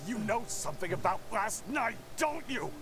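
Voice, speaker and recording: A man's voice: high voice, big pitch swings, moderate pace, music in the background. An actor playing a fiery, defiant husband in a horror game, confrontational in his delivery. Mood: angry, desperate. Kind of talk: voice acting in a horror detective game.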